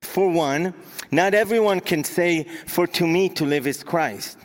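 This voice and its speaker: A man's voice: fairly high pitch, unhurried. A young man from Israel with an Israeli accent, a native Hebrew speaker, preaching an earnest sermon with emphatic repetition as a guest preacher.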